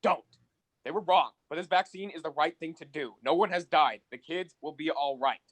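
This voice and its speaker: A man's voice: normal speed, a bit bright. A man playing a weary, earnest, exasperated father in a scripted radio play. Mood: pleading, exasperated. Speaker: actor in a college radio play. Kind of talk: scripted radio drama acting. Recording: faint low rumbling noise.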